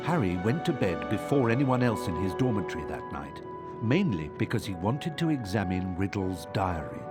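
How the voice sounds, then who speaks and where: An actor playing a measured storybook narrator in a video game. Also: male voice, fairly low pitch, big pitch swings, loud music behind the voice. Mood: storytelling.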